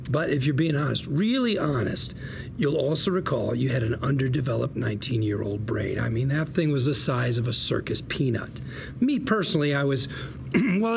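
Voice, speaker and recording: A man's voice: fairly high pitch, creaky, noisy background. An actor playing a slick, folksy, showy defense lawyer in a table read, persuading like a theatrical salesman. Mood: grand, persuasive. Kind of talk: acted table read of a TV script.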